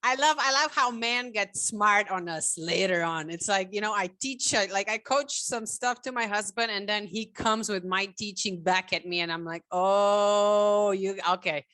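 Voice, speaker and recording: A woman's voice: medium pitch, normal speed, hardly any pauses, sharp tone, no room sound. A woman from Slovenia, chatting casually and animatedly as an interview guest. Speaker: relationship coach, podcast guest.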